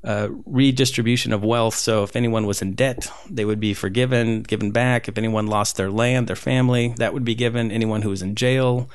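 Interview guest: low, gravelly man from Southern California, rambling, fillers, dry close sound. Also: steady tone, a little fast, few pauses, no room sound. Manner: rambling, many fillers and restarts. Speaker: street photographer guest. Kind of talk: casual interview conversation.

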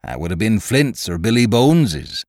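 A man's voice: on the low side, big pitch swings, normal speed, darkish tone, no echo, clean studio sound. A man doing a cunning old pirate cook. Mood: scheming. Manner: gruff, cunning, persuasive. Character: scheming one-legged pirate cook, old sea dog. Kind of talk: audiobook character voice.

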